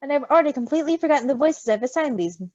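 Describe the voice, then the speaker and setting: A woman reading a story in an animated way, with giggly, casual asides. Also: high-pitched, racing, no echo. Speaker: story reader. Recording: slightly dull, close dry recording.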